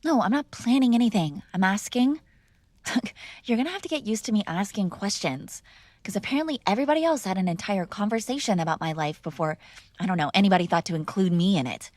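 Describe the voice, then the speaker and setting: A woman's voice: medium pitch, lively intonation, quick pace, a little mellow, no echo, lightly acted. A woman playing a mob boss's spoiled, defiant daughter in an intimate ASMR roleplay, teasing and sarcastic in a conversational way, close to the microphone. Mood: indignant, challenging. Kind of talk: ASMR girlfriend roleplay, intimate one-sided dialogue.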